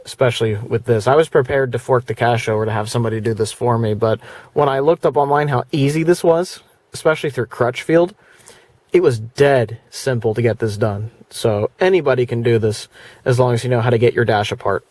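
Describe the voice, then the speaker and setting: A man's voice: mid-range voice, fairly quick, darkish tone, no echo. Casual man in a dry, close space. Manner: plainspoken, rambling, conversational. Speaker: video presenter. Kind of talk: casual product review vlog.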